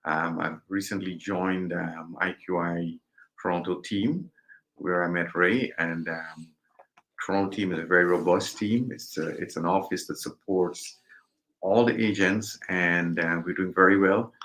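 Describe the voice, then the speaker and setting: A man from Guyana with a low, deep voice, answering interview questions as a guest realtor. He speaks in a measured way, pausing often with ums. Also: steady tone, fairly bright tone.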